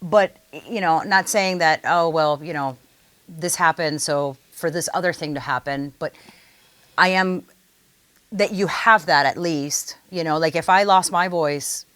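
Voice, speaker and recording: Low-voiced chatty woman host. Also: a little slow, dry recording. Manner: chatty, animated storyteller. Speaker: podcast host and singer. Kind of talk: casual interview conversation.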